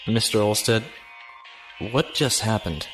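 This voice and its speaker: A man's voice: lowish voice, slow pace, poor audio. A man playing an earnest, wary military fugitive who asks questions in an audio drama. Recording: music behind the voice.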